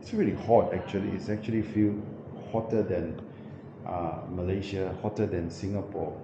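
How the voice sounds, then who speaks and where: A man showing a small windowless hotel room while telling a travel story, often pausing and correcting himself with many fillers. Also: low-pitched, steady tone, vocal fry. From New Zealand. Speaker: travel vlogger. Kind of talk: casual vlog walkthrough. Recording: echoey room, muffled, noisy background.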